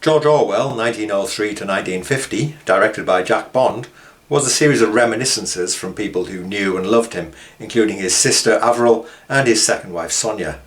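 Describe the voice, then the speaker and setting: Male presenter, low voice, informal documentary commentary. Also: moderate pace. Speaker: author and presenter.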